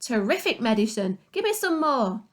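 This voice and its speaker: A woman voicing a wild, gleeful old grandmother in a read-aloud story, with a screechy, shouting voice. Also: very high voice, fairly even intonation, drawn-out words, voice pushed up, full character voice. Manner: shouting and whooping with delight.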